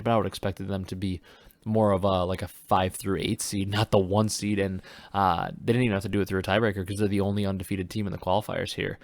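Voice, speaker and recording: A young man from Wisconsin hosts a solo podcast with a deep, low voice. He is stuffed up from a cold and rambles casually, with lots of "uh" fillers and restarts. The sound is dry and close, with no room echo. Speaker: podcast host.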